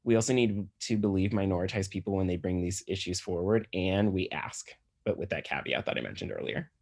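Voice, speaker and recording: A man's voice: low-pitched, a little fast, darkish tone. A man with an American accent giving an explanatory, conversational slide talk as a physician.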